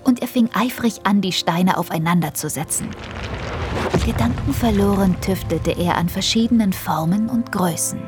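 A woman's voice: mid-range voice, moderate pace. Female storyteller for an audio drama, medium register, scripted delivery, light music underneath. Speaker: narrator.

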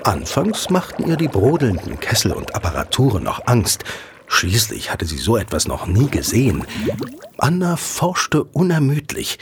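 A man's voice: medium pitch, wildly swooping pitch, moderate pace, noisy background. A man narrating a scripted audio drama as a storyteller.

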